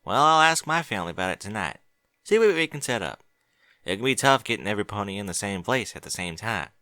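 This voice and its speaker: A man's voice: lowish voice, sing-song, fast talker, pushed and bright, dry recording, studio quality. A man voicing an earnest young farm pony mare in a fan fiction audiobook, talking in a plain, folksy way. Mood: friendly.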